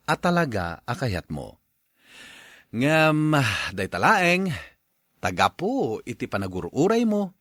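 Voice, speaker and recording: A male radio host with an Ilocano accent. He speaks slowly, with lively pitch movement and drawn-out dramatic phrasing. The studio sound is dry and close. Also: no room sound. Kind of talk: radio advice and scripted announcing.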